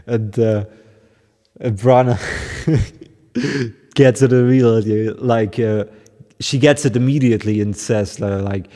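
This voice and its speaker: A man with a German accent chatting casually with his livestream viewers, chuckling often and pausing with lots of fillers. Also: mid-range voice, mellow, big-room sound. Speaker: musician hosting a livestream. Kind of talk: casual chat with stream viewers.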